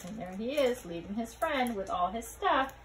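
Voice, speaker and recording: High-pitched, animated woman storyteller. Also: normal speed, a little hiss or hum. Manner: expressive, asks young listeners questions. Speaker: read-aloud storyteller. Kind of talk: children's picture-book read-aloud with asides to listeners.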